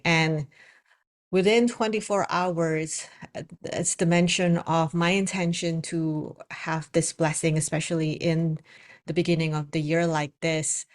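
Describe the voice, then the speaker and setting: A woman with an Indonesian accent, a spiritual coach and psychic reader, talking casually to her viewers with many pauses and fillers. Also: on the low side. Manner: hesitant, many fillers and restarts. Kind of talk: casual spoken video message.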